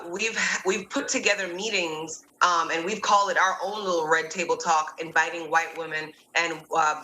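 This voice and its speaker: A woman's voice: fairly low pitch, sharp tone. A woman raised in Jamaica, talking casually and chattily with lots of fillers and restarts. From born in New York, raised in Kingston, Jamaica. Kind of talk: casual conversational discussion. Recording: echoey room with faint ambient noise.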